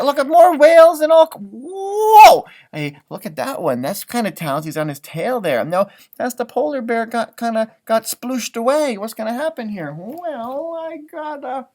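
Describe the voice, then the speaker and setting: Animated man reading a children's storybook. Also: extremely high pitch. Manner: animated, exclaiming, drawn-out words when reading. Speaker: storybook reader, elementary music teacher. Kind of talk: children's picture book read-aloud with chatty asides.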